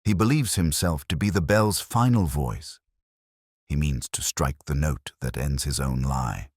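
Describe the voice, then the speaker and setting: A man voicing a brilliant, grave detective in an audiobook, speaking deliberately and precisely. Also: rumbling low, darkish tone, dry recording, clean studio sound. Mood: hushed, intent. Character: brilliant, grave male detective, coolly analytical. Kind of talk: audiobook character dialogue.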